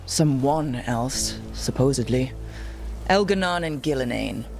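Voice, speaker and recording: An actress playing a dry, determined adventurer and leader, speaking directly in a fantasy video game. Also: female voice, rumbling low, expressive, unhurried, a little mellow, soft background music, full character voice. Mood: defiant, dry. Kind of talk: cinematic video game dialogue.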